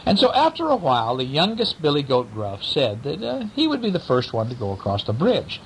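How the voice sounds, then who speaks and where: A man's voice: wildly swooping pitch, fairly quick, a bit bright, rough recording. A man, a father, telling a bedtime story to a child in a playful, theatrical, teasing way, at home. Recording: home recording with rumble and background noise.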